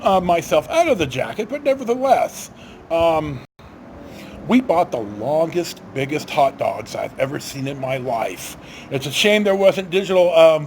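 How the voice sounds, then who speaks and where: A man's voice: high voice, darkish tone, slight background noise. A middle-aged American man telling rambling personal stories in a casual, folksy, blunt and salty way. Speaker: storyteller, vlog host. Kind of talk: rambling casual anecdotal storytelling.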